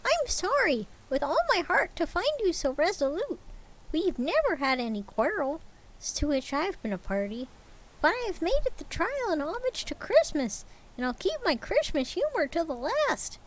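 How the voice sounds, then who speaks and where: A woman reading aloud and voicing a cheerful, earnest young man who pleads warmly with his uncle. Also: very high-pitched, sing-song, normal speed, pitched above the performer's own voice, low-quality recording. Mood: pleading. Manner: pleading, warm-hearted.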